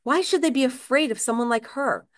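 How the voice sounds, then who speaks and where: A woman's voice: sits high, a little fast, voice pushed up, natural voice. A woman voicing a desperate, agitated husband in a novel reading, speaking with urgent, earnest pleading. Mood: troubled, earnest.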